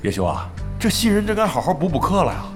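Boastful middle-aged gamer, theatrical bluster, very animated delivery, radio drama, background music. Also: male voice, on the high side, fast. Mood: pompous. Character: brash, boastful veteran male gamer, blustering and face-saving. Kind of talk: Chinese radio drama acting. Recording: music behind the voice.

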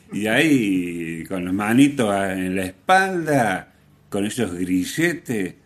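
Argentine guy doing radio music chat, deep-ish voice, really slow, halting, repeats stuff for emphasis. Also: sing-song. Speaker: radio host. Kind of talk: casual radio talk about music.

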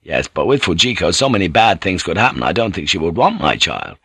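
A man voicing a blunt, affectionate foreign seafarer in an audiobook, speaking tersely and at times haltingly. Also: deep voice, big pitch swings, fairly bright tone, husky, dry recording, clean studio sound, voice pushed down, heavily acted. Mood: frank, subdued. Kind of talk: audiobook character voice.

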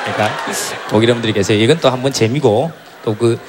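A man's voice: medium pitch, fast, a loud crowd, heavy background noise. A man on a TV music show reading listener comments in comic banter, acting out funny voices. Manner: lively, acts out funny voices, laughs often. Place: TV music show studio stage. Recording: broadcast studio with live audience.

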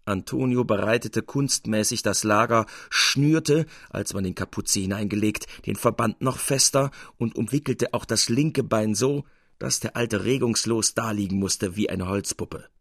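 A man reading literary fiction as an audiobook narrator, in an expressive storytelling manner. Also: medium pitch, creaky, husky, no room sound, studio quality.

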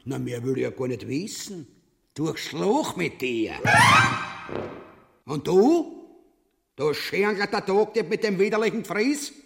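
Gravelly, swooping Austrian-Bavarian tyrant king. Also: sits high, animated, slow pace, pauses often, a little mellow, heavily acted. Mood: gruff, contemptuous. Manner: pompous proclamations sliding into coarse insults. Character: crude, greedy tyrant king, bullying and bloodthirsty. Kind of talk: grotesque radio play acting. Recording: long hall-like reverberation.

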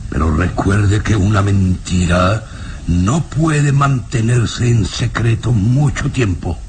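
An actor playing a menacing, mocking baron who interrogates slowly and insinuatingly in a radio drama. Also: male voice, on the low side, a little mellow. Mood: cold, insinuating. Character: menacing, mocking baron, father of a grown daughter. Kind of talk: radionovela acting. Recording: noisy old radio drama recording.

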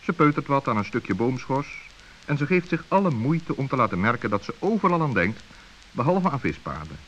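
Male narrator for a children's story. Expressive, varied intonation, faintly hissy vintage sound. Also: mid-range voice, fairly quick, muffled recording. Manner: expressive, suspenseful.

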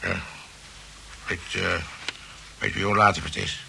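An actor playing a smug, pompous gentleman suitor in a radio play, needling his rival with mocking repetitions and hesitant turns of phrase. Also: sits low, steady tone, takes it slowly, gravelly, heavily acted. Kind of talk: radio play dialogue acting. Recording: noisy old radio play recording.

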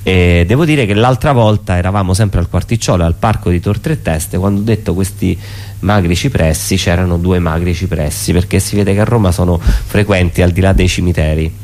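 A man talks as an interview guest. He explains things off the cuff, reflectively, pausing and rephrasing as he goes. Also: low-pitched, darkish tone. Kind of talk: unscripted explanatory interview talk.

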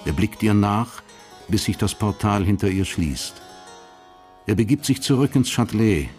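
Deep-voiced male radio drama narrator. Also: lively intonation, a little mellow, soft background music. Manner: measured, literary storytelling.

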